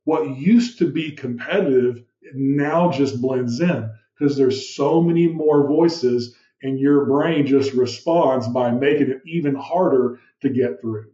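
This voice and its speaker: A man's voice: mid-range voice, slow, few pauses. Commanding man with a muffled, roomy sound. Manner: animated, uses vivid room analogies and rhetorical questions; describes his own voice as big and commanding. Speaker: podcast guest, business founder. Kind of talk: explanatory interview talk.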